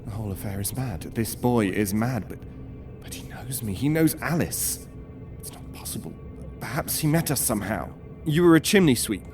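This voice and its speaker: A man playing a troubled Victorian surgeon in an audio horror drama, tense, halting and rattled. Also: medium pitch, lively intonation, a little mellow, soft background music, natural voice. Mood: disturbed, desperate. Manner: tense, halting, dropping to whispers. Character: shaken, rational Victorian surgeon, troubled and angry.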